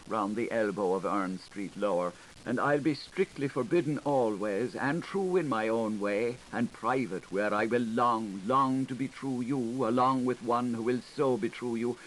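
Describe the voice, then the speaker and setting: A man's voice: medium pitch, close to an everyday voice. A man voicing a coquettish young girl, noisy tape. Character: coquettish, gushing young Irish girl writing love letters. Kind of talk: audiobook character reading of literary fiction. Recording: hissy 1980s audiobook recording.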